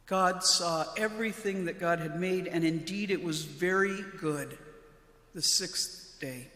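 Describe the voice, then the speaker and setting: A woman, a pastor, reading scripture from a church lectern in a solemn, measured way. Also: fairly low pitch, a bit bright. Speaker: Lutheran pastor. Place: large church interior, at the lectern. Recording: echoey church with long reverb.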